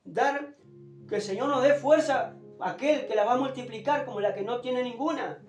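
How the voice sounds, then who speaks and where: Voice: mid-range voice, normal speed. A preacher delivers a fervent, emphatic sermon, pushing a strained, sore throat and often repeating exhortations. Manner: emphatic, exhorting, repeats phrases and addresses listeners as brother. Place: echoing room, preaching unamplified. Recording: roomy, distant pickup without a microphone, music behind.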